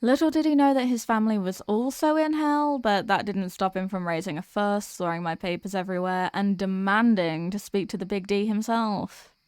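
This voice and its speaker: A woman's voice: mid-range voice, steady tone, normal speed, few pauses, a little mellow, clean tone, no room sound, clean studio sound, close to an everyday voice. A woman playing a sweet, weary demoness girlfriend in an ASMR roleplay, chatting affectionately and intimately to her partner. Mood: exasperated venting. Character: sweet, weary demoness girlfriend venting about office work.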